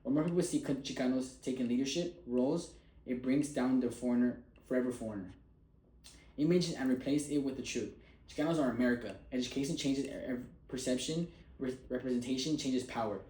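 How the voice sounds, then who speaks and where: A man gives a prepared persuasive speech in an earnest, emphatic way. His voice is dark and mellow, with an average pitch. The room around him is roomy and echoey. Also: fairly quick. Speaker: student giving a speech.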